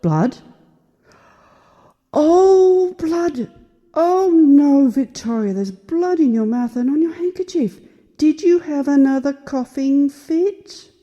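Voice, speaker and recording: Woman acting a mellow, folksy frontier gal. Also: high-pitched, animated, slow, dull audio. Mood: flustered. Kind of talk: audio horror-comedy acting.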